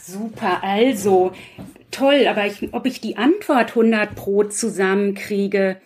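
A woman's voice: mid-range voice, measured pace, darkish tone, slightly rough. A woman speaking as a guest author in a casual conversation, chatty and self-deprecating. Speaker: guest author and quiz writer. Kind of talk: casual chat.

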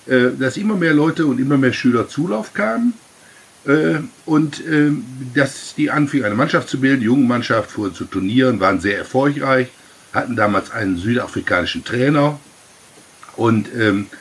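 A man's voice: mid-range voice, slightly rough. A middle-aged man, a rugby club president, telling his story with many fillers in long winding sentences. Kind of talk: casual interview answers.

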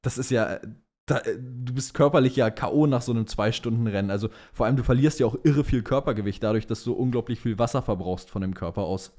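Man, casual podcast chat, mid-pitched voice, slangy phrasing, English loanwords. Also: fast, darkish tone. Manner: informal, slangy German mixed with English words. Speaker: podcast co-host reading listener questions. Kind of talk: casual chat about motorsport.

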